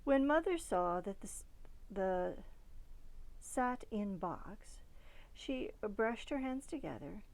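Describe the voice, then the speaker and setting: A woman reading a children's storybook aloud expressively, with dramatic emphasis that softens at phrase ends. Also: mid-range voice, animated, slow pace, low-quality recording. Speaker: storybook reader.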